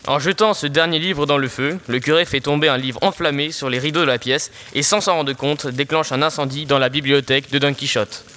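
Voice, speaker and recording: A man's voice: on the high side, a little fast, hardly any pauses, sharp tone. A teenage boy reading scripted narration for an audio story.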